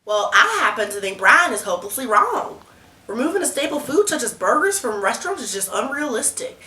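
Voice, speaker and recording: A woman's voice: fairly high pitch, big pitch swings, a little fast, sharp tone. A young woman playing a brash, scornful, alarmist presidential candidate in a student debate video, blunt and folksy in her delivery. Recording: roomy echo, noticeable background noise.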